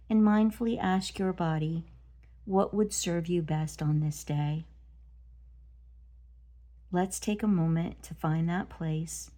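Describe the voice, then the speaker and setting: A woman leading a guided meditation, speaking gently and deliberately in short phrases with pauses between them. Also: on the low side. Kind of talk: guided body scan meditation.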